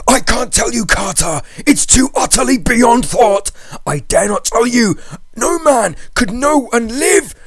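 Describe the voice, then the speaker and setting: A man's voice: extremely high pitch, expressive, measured pace, breathy edge, faint music behind, voice pushed up, full character voice. Terrified, shrieking doomed man. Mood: horrified awe. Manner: frantic, shrieking, pleading. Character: terrified doomed man screaming warnings from a tomb. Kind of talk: dramatic horror story reading.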